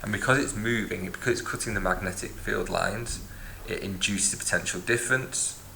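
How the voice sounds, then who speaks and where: A man's voice: fairly low pitch, moderate pace, vocal fry, pushed and bright, slight background noise, low-quality recording. A man teaching a physics lesson, explaining step by step and recapping as he writes in a notebook. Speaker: science teacher. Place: at a desk, filming a notebook from above.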